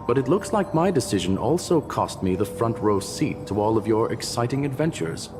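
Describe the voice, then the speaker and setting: An actor playing a detached, erudite intellectual in a video game, with a precise, theatrical, analytical manner. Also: male voice, medium pitch, animated, normal speed, lightly acted. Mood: amused, analytical. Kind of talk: scripted video game monologue. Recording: background music under dialogue.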